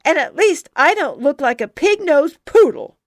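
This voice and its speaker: A woman voicing a bold, defiant young girl telling wild stories, excited and emphatic. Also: sits high, very slow, dry recording, studio quality, pitched above the performer's own voice, exaggerated voice. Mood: spirited.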